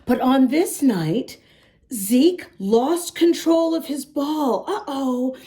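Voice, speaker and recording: Female children's story reader, slow and expressive, gentle mellow tone, talks directly to small listeners. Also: high-pitched. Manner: expressive, sing-song, addressing small children. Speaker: children's story-time host reading a picture book. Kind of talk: children's read-aloud with asides to young listeners.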